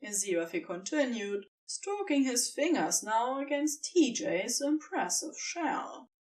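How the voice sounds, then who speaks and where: A woman reads a story as its narrator, slightly off the microphone. Her delivery is slow and expressive, with wide swings of intonation in a scripted storytelling style. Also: fairly high pitch, distant mic.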